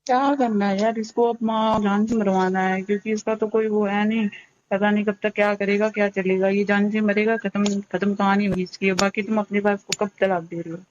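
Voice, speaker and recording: Flat-voiced woman on a muffled phone. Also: mid-range voice, fairly quick, a little hiss or hum. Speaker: caller in leaked phone recording. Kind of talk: private phone call. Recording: dull lo-fi phone audio.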